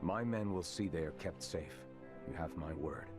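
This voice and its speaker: A man's voice: low-pitched, close to an everyday voice. An actor playing a composed, formal male military commander in a video game cutscene. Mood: calm. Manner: formal, measured, courteous. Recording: game cutscene with music behind.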